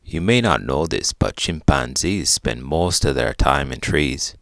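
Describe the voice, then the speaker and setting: Man voicing a deep, gravelly talking chimpanzee. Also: animated, unhurried, a bit bright, dry recording, clean studio sound, exaggerated voice. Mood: matter-of-fact. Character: laid-back talking chimpanzee with fur, explaining things.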